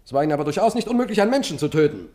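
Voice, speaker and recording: An actor playing a stern police investigator in a German radio crime drama, questioning in a clipped, insistent way. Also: male voice, on the high side, very animated, rapid-fire, a little mellow.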